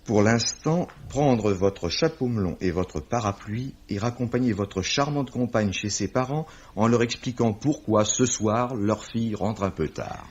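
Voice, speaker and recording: A man playing a wry, courteous police commissioner, measured and eloquent, in an old radio drama. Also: on the low side, lightly acted. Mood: explanatory. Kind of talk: 1950s French radio drama acting. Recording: dull vintage radio recording.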